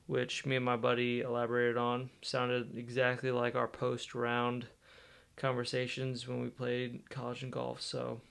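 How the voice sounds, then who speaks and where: Creaky young man vlogging casually. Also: mid-range voice. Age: young adult. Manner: relaxed, rambling delivery with fillers. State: losing his voice. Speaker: vlogger and podcast host. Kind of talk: casual vlog chat.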